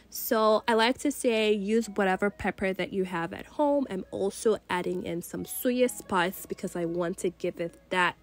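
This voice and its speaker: Congested woman chatting through a cooking voice-over. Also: mid-range voice. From Nigeria. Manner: chatty, relaxed, many fillers like "you know". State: congested from a cold. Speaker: cooking channel host. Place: voice-over recorded separately from the kitchen footage.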